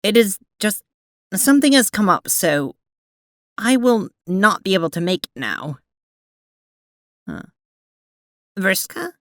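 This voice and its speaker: A woman plays a prim, awkward young troll lady in an audio drama. She speaks slowly and formally, with lively swings in pitch, in a close, dry recording. Her delivery is earnest and halting. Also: no room sound. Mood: hesitant, deflated. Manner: formal, halting, apologetic, avoids contractions.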